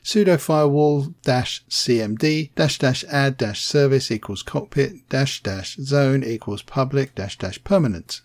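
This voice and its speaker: A man reading a scripted software tutorial voice-over, plain and steady, spelling out commands slowly. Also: medium pitch. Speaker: tech tutorial narrator.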